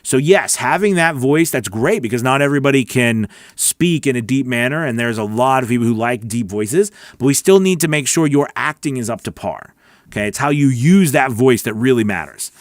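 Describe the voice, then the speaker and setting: A man's voice: mid-range voice, expressive, moderate pace, no room sound, studio quality. A man hosting a live voice-over class, reading viewer questions aloud and answering them in a chatty, encouraging way with many restarts. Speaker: voice-over coach and livestream host.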